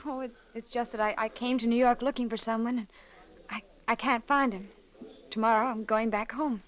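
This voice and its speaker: A woman's voice: fairly high pitch, steady tone, normal speed, close to an everyday voice. An actress playing a sweet, nervous young small-town woman in old radio drama style, polite and hesitant. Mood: shy, downcast. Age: young adult. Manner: breathlessly hesitant, courteous. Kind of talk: 1940s-50s radio drama acting.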